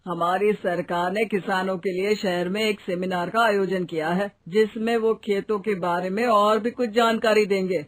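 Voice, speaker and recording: A woman's voice: mid-range voice, steady tone, normal speed, few pauses, lightly acted. A woman voicing a friendly, assured village headman explaining things in a cartoon moral story. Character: friendly male village headman giving advice.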